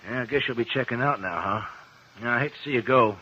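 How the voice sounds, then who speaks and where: An actor with an American accent playing an eager, admiring, folksy sidekick in a 1950s radio drama, informal and boyish in manner. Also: male voice, mid-range voice, quick pace, close to an everyday voice. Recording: muffled old 1950s radio recording.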